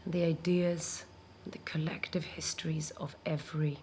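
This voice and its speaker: A woman's voice: sits low, little pitch movement, darkish tone, close to an everyday voice. A woman playing a defiant, sentient robot heroine in an audio drama, speaking in a dramatic, impassioned way that drops toward whispers. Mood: earnest, pleading. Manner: slow, dramatic, impassioned delivery dropping into whispers. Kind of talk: dramatized audio fiction monologue.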